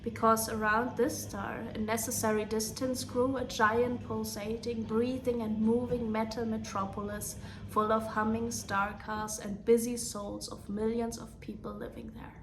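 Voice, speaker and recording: German woman softly reading a bedtime story. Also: a little high, level tone, unhurried, hardly any pauses, slight background noise, poor audio. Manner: hushed, half-whispered reading.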